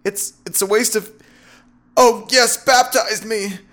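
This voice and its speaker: Casting: male reader as rattled, stammering male skeptic in short fiction, voice pushed high, very excitable. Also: slow. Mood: flustered, aroused. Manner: stammering, agitated. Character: flustered, stammering male atheist overcome by lust and panic.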